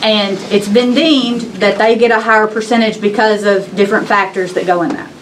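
A young woman speaks earnestly into a table microphone at a county meeting. She talks in a mid-range voice, pausing often and restarting her sentences. Faint room noise sits behind her. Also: mid-range voice, fairly even intonation, low-quality recording. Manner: earnest, hesitant, many restarts. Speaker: justice of the peace. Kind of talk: public meeting remarks.

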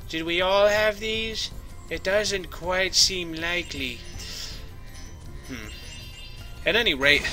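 Goofy, bright-voiced man playing a game. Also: a little high. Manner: goofy, jokey, talks to the game characters. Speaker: let's play host. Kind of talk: casual gameplay commentary. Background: game music.

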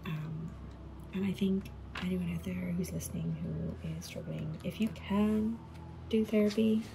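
A thirty-year-old woman talking in a relaxed, rambling voice-over, full of "like" and "um", soft-spoken. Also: deep voice, vocal fry, noisy background. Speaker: journal video creator. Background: background music.

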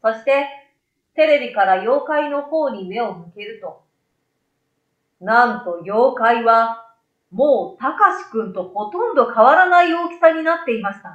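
A woman's voice: fairly high pitch, expressive, measured pace. A middle-aged woman narrating a storybook tale expressively in a small room. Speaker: story reader. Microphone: desk condenser microphone beside her. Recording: roomy, slightly dull sound.

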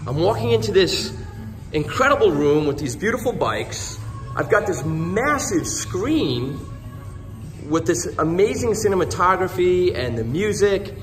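A man's voice: high voice, very animated, unhurried. A man promoting a gym class, asking questions in an eager, upbeat, salesy manner. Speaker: gym promoter interviewing the instructor. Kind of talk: enthusiastic promotional walkthrough. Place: large gym cycling studio, indoors. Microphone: handheld phone camera. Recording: gym room with music behind. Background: music playing.

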